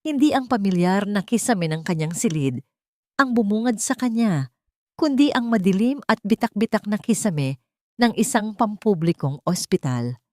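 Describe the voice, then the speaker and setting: A woman's voice: mid-range voice, animated, normal speed, mellow, no room sound. A woman with a Filipino accent reads a scripted dramatic story as a storyteller.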